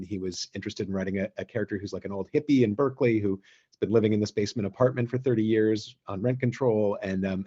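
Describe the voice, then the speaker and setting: Low, husky man hosting casually. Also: mellow, highs cut off. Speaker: host and theatre director. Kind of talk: casual online talk introducing clips.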